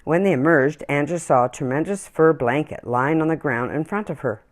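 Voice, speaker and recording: A woman reading a children's story aloud in an expressive, deliberate storytelling manner. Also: sits low. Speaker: children's story-time reader.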